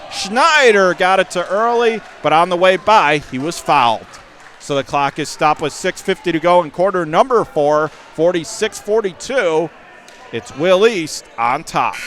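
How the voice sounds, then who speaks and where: Male sports announcer, high-pitched, clipped and excitable live basketball play-by-play, with gym noise behind him. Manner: clipped running phrases, bursts of excitement.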